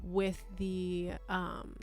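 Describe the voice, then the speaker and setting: A woman's voice: fairly low pitch, completely flat delivery, very slow, a little mellow, faint music behind. A woman from Barbados, talking candidly and thoughtfully as a guest in a personal interview. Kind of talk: reflective personal conversation.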